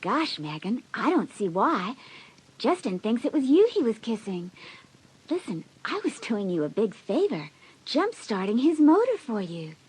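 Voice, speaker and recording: Smug, husky teenage girl. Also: fairly high pitch, big pitch swings, measured pace, darkish tone, full character voice, rough recording. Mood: smug, dismissive. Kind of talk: dramatised audiobook acting.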